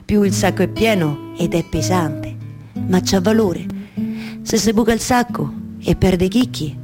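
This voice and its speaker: A woman's voice: medium pitch, measured pace, lightly acted. Woman voicing a weary homesick male wanderer, earnest and wise, Roman accent, colloquial stage tale, music behind.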